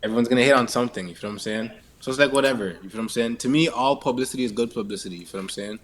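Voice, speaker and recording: A man with a Toronto accent answering interview questions in casual, sweary, slang-heavy talk, halting and leaning on repeated tag phrases. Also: mid-range voice. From Canada. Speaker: interviewee, musician.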